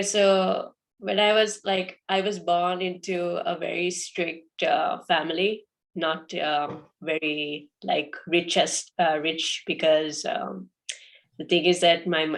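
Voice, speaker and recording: A young woman from Sri Lanka, a podcast guest telling her story in a halting way full of fillers and restarts. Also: low-pitched, level tone. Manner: hesitant, many fillers and restarts. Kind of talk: casual interview answers.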